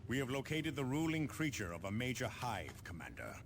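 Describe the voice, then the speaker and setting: An actor playing a formal, resolute space marine captain giving mission briefings in a video game. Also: male voice, medium pitch, huge pitch swings, background noise. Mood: stern. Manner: formal, commanding, deliberate.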